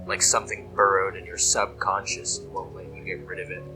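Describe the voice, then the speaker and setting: Casting: obsessed male dreamer acted by a man for horror audio drama, very low, flat pitch, brooding and hushed, music behind. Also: fairly quick, low-quality recording. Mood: hushed reverence. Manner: brooding, hushed, dropping into whispers. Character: obsessed, reverent male dreamer logging eldritch nightmares. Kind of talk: scripted horror monologue.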